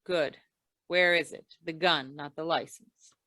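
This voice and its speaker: A woman's voice: deep voice, fairly even intonation, unhurried, sharp tone, no echo. A woman playing a wry, hard-boiled insurance investigator in an old-time radio drama, with dry, tough delivery. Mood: cool, commanding.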